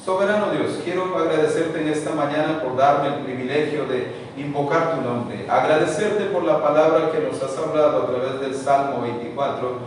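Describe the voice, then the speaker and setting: Earnest male spiritual leader, preacherly address and prayer, voice sits a bit high, distant mic in a reverberant meeting hall. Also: moderate pace, hardly any pauses, slight background noise. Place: meeting room. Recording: echoey hall, picked up from far off.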